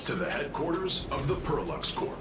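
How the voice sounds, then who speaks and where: Genial company spokesman, played by a man, ceremonious and animated, echoing voice over loud music, anime game. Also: fairly high pitch, normal speed, natural voice. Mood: proud, welcoming. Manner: formal, showy, deferential. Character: polished, genial, ceremonious corporate male guide. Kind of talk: anime-style video game voice acting. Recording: echoey, loud music behind.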